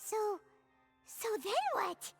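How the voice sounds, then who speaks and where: A woman voicing a tiny, childlike fairy companion in a video game, excitable and curious, exclaiming her way through. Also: extremely high pitch, extremely slow, creaky, soft background music, heavily acted. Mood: curious, puzzled. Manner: speaks of self in third person, exclaiming. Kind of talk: video game character acting.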